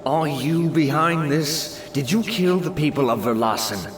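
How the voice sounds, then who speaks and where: A man's voice: fairly high pitch, animated, measured pace, reverberant, pitched above the performer's own voice. A man playing a troubled, accusing sorcerer in an audiobook, with an insistent, questioning manner. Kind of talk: audiobook character voice.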